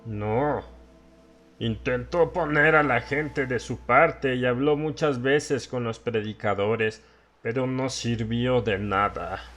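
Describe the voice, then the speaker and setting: A man's voice: medium pitch, takes it slowly, a bit bright, soft background music. A man playing a drunken, half-crazed old villager, sly and ranting, in a dramatized horror audiobook, with a rambling and insistent manner. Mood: brooding, ominous. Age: older. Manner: cackling, rambling, insistent questioning.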